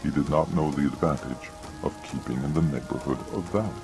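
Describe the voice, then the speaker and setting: Male audiobook reader, extremely low bass, formal, measured, clear background music. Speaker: audiobook narrator. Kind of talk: scripted dramatic reading of war commentary.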